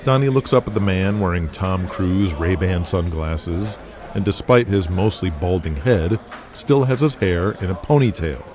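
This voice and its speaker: A man's voice: sits low, normal speed, a little mellow. A man narrating an audio drama in scripted, present-tense storytelling style. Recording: music playing behind the voice. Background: music.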